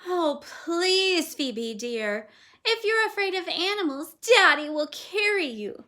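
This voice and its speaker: A woman voicing an anxious father who coaxes and pleads softly. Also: extremely high pitch, big pitch swings, slow pace, pitched above the performer's own voice, heavily acted. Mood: coaxing. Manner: pleading and soft. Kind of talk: storybook read-aloud.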